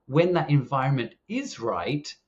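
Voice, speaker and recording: A man's voice: mid-range voice, sing-song, unhurried. A British man hosting a podcast interview, speaking casually and hesitantly, with frequent restarts. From Britain. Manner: hesitant, many restarts and fillers. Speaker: podcast host. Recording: slightly dull, muffled audio.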